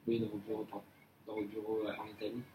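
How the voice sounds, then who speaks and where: A man's voice: on the low side, completely flat delivery, fast, warm tone, close to an everyday voice. A young man playing a hesitant employee. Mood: earnest. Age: young adult. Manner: hesitant, many fillers and restarts. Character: young male employee, earnest and polite. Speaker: employee in an appraisal role-play. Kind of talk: amateur role-play of a job interview. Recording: echoey room, mic far off, faint noise.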